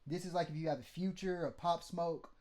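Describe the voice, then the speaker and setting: A man's voice: fairly high pitch, steady tone, brisk, darkish tone. A man with a mid-range voice teaching vocal mixing in a loose, blunt way, swearing and checking in with "okay?". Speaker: mixing tutorial host. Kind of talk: casual how-to teaching.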